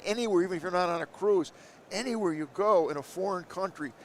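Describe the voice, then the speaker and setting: A man hosting a live interview show on a busy trade show floor, enthusiastic and quick to cut in with praise. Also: high-pitched, a little hiss or hum. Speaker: show host. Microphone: headset.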